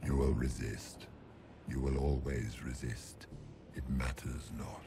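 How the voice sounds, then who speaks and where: An actor voicing a towering ancient darkspawn magister, imperious and menacing, with grandiose, archaic declaiming and a resonant voice in a video game. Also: male voice, extremely low pitch, little pitch movement, takes it slowly, creaky, background noise, exaggerated voice. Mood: imperious menace. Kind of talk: dramatic video game villain acting.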